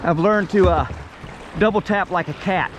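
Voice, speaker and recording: High-pitched American man vlogging outdoors. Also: moderate pace, background noise. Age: middle-aged. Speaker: kayaker and video host. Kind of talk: casual outdoor vlog chat. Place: outdoors on and beside a river.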